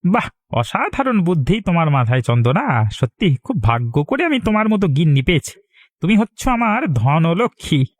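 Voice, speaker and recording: A greedy village husband from a cartoon , acted by a man. His male voice sits fairly high and sounds close and dry. He wheedles and schemes with animated delivery. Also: moderate pace, no echo. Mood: flattering, eager. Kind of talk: Bengali cartoon dialogue.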